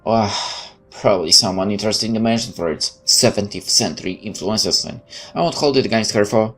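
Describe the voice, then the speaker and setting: A man's voice: lowish voice, a little fast, a bit bright, soft background music. A man playing through a game, reading its text aloud and adding sarcastic asides. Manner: sarcastic, interjecting quips. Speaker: let's player.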